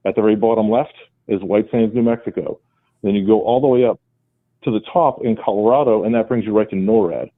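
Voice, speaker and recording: A man's voice: on the low side, a little fast, no echo. A man with a Boston accent calling in to a radio show, explaining at length with rambling emphasis. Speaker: caller. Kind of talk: call-in radio talk. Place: home, with his wife beside him. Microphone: speakerphone, then handset. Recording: dry phone line.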